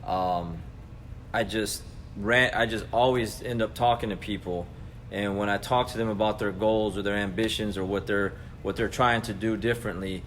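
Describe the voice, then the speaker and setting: A man's voice: lowish voice, steady tone, moderate pace, fairly bright tone. An American man in his forties giving a casual motivational talk as a coach, conversational and earnest, speaking directly to his listeners. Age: 40s. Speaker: jiu-jitsu coach and vlog host. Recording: clearly noisy background ambience.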